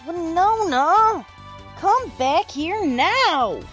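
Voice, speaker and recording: A woman's voice: extremely high pitch, animated, drawn-out words, a bit bright, soft background music, exaggerated voice. A woman voicing a feisty, stubborn young female cartoon pony farmer with a folksy drawl. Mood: exasperated, commanding.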